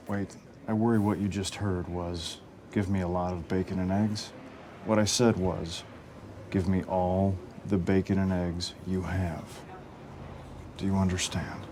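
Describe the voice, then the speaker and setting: Very deep, deadpan, exacting man. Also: measured pace, a little hiss or hum. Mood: deadpan insistence. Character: deadpan, stubbornly exacting man ordering food.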